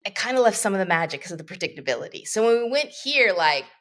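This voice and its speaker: A woman's voice: mid-range voice, sing-song, fast talker, a bit bright. Reflective woman in her fifties. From Canada. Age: 50s. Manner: reflective, rambling with many asides. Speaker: guest, coach. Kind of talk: casual interview conversation.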